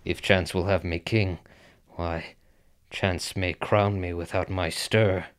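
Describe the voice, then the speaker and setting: An actor playing an ambitious, brooding Scottish general in a Shakespearean radio drama, speaking declamatory verse. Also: male voice, low voice, steady tone, slow pace, clean tone.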